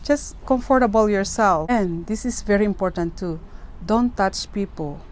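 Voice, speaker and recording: A woman's voice: medium pitch, moderate pace. A middle-aged woman from the Philippines with a Filipino accent, giving casual advice to camera in an earnest way, often checking in with "okay?". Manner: earnest, repeats herself, keeps asking "okay?".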